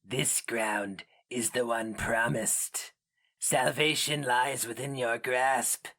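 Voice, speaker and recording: A man voicing an inhuman alien creature from a dark fantasy story, with a guttural rasp and a slow, menacing, coldly seductive delivery. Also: medium pitch, fairly even intonation, voice pushed up, exaggerated voice. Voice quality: raspy, guttural. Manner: slow, deliberate.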